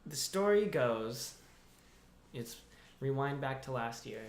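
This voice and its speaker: A young man co-hosting a podcast, talking through the news in a relaxed, laid-back way. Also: mid-range voice, slow pace. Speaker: podcast co-host. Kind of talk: casual news chat. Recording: echoey room.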